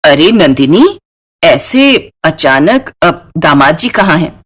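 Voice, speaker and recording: An older Indian mother-in-law played by a woman storyteller in a family storybook. The voice drops a little lower, firm and scolding, with a motherly authority. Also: very animated, takes it slowly, breathy edge, full character voice. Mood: surprised.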